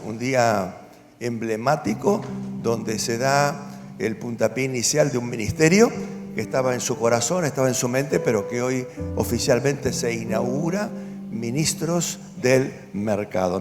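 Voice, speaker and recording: A pastor in his seventies gives a personal testimony to a gathered congregation. His delivery is earnest and storytelling, and it firms up into conviction at times. The voice rings out with a long reverb through a large hall, with music playing clearly behind him. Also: mid-range voice. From Argentina. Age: 70s. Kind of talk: personal testimony at a church event.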